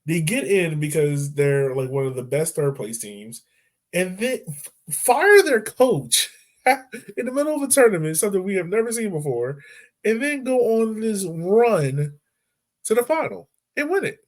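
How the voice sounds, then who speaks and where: A man hosting a podcast in relaxed, chatty sports talk, often laughing and dropping casual fillers. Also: sits high, a little slow. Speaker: podcast host.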